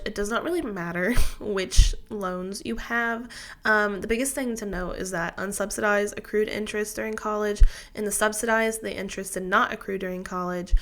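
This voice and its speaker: A woman's voice: mid-range voice, a bit bright. A woman, sounding congested from a sinus infection, explaining her finances in a chatty, methodical way to camera. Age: 20s. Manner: chatty, methodical, with fillers and restarts. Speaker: personal finance vlogger. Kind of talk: casual explanatory talk to camera.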